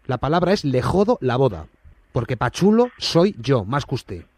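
A man's voice: medium pitch, big pitch swings, normal speed, breathy edge, no room sound. A man with a Spanish accent from Spain playing an indignant, bullying company manager on a prank phone call, speaking in formal, hesitant phrases or blunt, coarse threats. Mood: angry, threatening.

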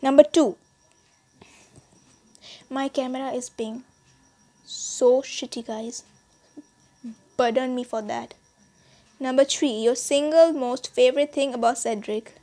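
A woman's voice: sits high, fairly even intonation, takes it slowly, pauses often, mellow. A teenage girl from India with an Indian accent, chatting casually to her subscribers, rambling with many restarts and fillers. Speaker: YouTuber. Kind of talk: casual vlog chat. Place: small bedroom, indoors.

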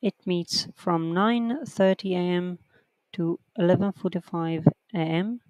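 Writing teacher, unhurried and methodical, smooth clear voice, dry close sound. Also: mid-range voice, steady tone, a little mellow, no echo, dull audio. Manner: reads sentences out slowly and counts corrections aloud. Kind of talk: slow online lesson, reading a textbook aloud.

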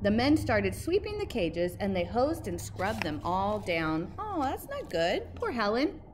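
A woman in her thirties reading a picture book aloud expressively and emphatically, like a teacher, pausing to ask questions. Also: mid-range voice, huge pitch swings. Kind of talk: expressive children's storybook read-aloud. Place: outdoors by a brick building entrance. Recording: faint outdoor background noise.

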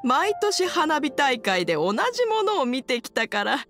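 A contented old grandmotherly wife from a video game. The voice is high and very melodic, with slow, gentle phrasing and soft music behind. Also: very animated, full character voice. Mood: fond, nostalgic. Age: older. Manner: gentle, unhurried.

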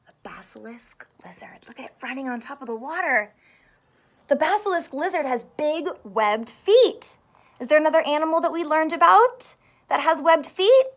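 A woman in her thirties reading a children's book aloud in an expressive, teacherly way, asking questions and drawing out key words. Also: high-pitched. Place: small indoor room with couch and stuffed toys.

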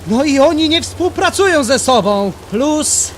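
Mężczyzna jako zirytowany rycerz, bardzo wysoki głos. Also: male voice, very high-pitched, expressive, a little slow. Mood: exasperated. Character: exasperated, put-upon warrior monk, wry. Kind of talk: Polish fan parody dub. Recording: noisy fan-dub audio, engine-like ambience.

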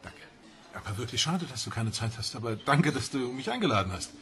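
A man's voice: mid-range voice, sing-song, a little fast, fairly bright tone, soft background music. A man playing an affectionate but evasive confidant in a radio crime drama, speaking in a familiar, hesitant way.

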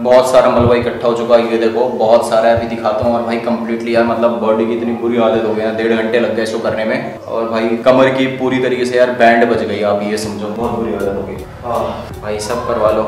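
Fast-talking young man vlogging. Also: medium pitch, monotone, darkish tone, roomy sound, rough recording. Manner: chatty, addresses viewers as brother. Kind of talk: casual vlog talk to camera. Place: bare room under renovation, then a carpenter's workshop. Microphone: handheld camera at arm's length.

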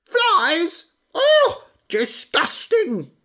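A woman voicing a vain, fussy female chicken with a shrill, squawking voice in a storybook reading. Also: huge pitch swings, drawn-out words, pushed and bright, heavily acted. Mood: disgusted.

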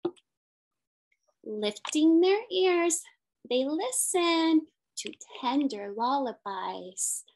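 A woman's voice: high voice, animated, drawn-out words, long pauses, low-quality recording. A woman in her thirties reading a picture book aloud as a teacher, in simple sing-song phrases with questions for young children. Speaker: special education teacher.

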